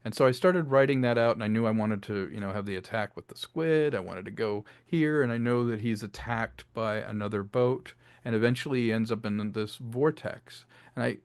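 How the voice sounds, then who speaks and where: A man's voice: fairly low pitch, moderate pace, hardly any pauses, clean tone, no room sound. Expansive man talking through his creative process. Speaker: filmmaker and audio drama writer being interviewed. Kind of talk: conversational interview talk.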